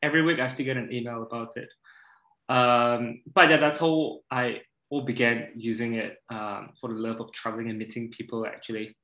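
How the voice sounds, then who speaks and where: A man in his thirties with a Malaysian accent talks casually as a guest. His voice is bright and sharp at a medium pitch, recorded in a noticeably echoey room. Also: steady tone, moderate pace, highs cut off. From Borneo, Malaysia. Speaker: podcast guest. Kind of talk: casual chat. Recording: echoey, roomy sound.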